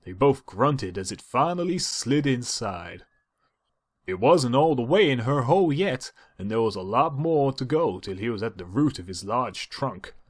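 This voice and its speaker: A man's voice: mid-range voice, animated, unhurried. A man reading a story aloud as narrator, in a dramatised storybook style.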